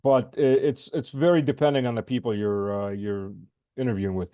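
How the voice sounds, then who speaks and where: A middle-aged man whose first language is Russian hosts a casual interview over a video call. His voice is dark and mellow, the sound dry and close, and he talks in a blunt, emphatic way, repeating points for weight. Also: medium pitch, steady tone, a little fast, dry recording. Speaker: podcast host.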